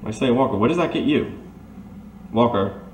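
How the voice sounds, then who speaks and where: A man's voice: mid-range voice, lively intonation, normal speed, a little mellow, natural voice. A man playing a formal, loyal Major in a detective radio drama, polite and old-fashioned in his phrasing. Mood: businesslike, curious. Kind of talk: audio drama acting in a 1930s detective serial. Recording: echoey, distant microphone, faint noise.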